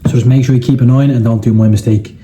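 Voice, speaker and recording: A man's voice: medium pitch, fairly even intonation, very fast, dark tone, slight background noise. A young Irish man hosting a cooking video, talking casually and bluntly with the odd swear. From Ireland. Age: young adult. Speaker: cooking channel host. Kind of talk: casual cooking vlog narration.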